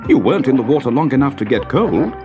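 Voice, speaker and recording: A protective mother otter from a children's storybook, acted out by a man, speaks in a raised, lively pitch. She is firm and instructive, scolding yet caring, with music behind her. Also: quick pace, highs cut off. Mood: gently scolding. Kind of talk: children's storybook character reading.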